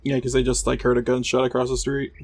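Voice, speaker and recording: A man's voice: mid-range voice, monotone, fast, darkish tone. A man playing a tabletop game, improvising casually in character in an offhand, conversational way.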